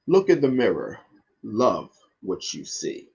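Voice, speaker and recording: A loving storybook mother read by a man, reassuring her little boy. The delivery is slow, tender and emphatic, with a slightly husky tone. The recording is dull and lo-fi. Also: fairly low pitch, wildly swooping pitch, a little mellow, heavily acted. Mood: gently encouraging. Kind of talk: children's picture book read aloud.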